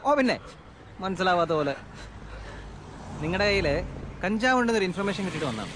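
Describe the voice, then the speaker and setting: A man's voice: high-pitched, expressive, fast talker, a little hiss or hum. A man playing a stern, authoritative, insistent police officer in a film drama. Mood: forceful, sardonic. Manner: authoritative, insistent, repeats questions. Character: stern, probing male police officer interrogating suspects. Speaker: actor in a film. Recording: film audio with loud music.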